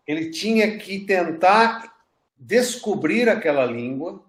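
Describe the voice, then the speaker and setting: A man leads a church class, telling stories in a casual, halting way. His voice sits slightly high for a man. The room around him is echoey. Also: expressive, fairly bright tone. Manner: conversational, storytelling with restarts. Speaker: pastor teaching a class.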